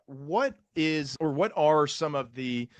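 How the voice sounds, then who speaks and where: A man hosting a physician podcast, speaking in a measured way and thinking aloud with fillers. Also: a little high, lively intonation, no echo. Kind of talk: podcast discussion.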